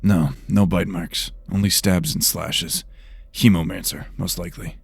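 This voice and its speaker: Guy doing a blunt demanding detective in a fantasy audio story, real low gravelly voice. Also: fairly even intonation, a little slow, dry recording, exaggerated voice. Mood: grim, explanatory. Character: brusque, agitated male investigator of magical murders.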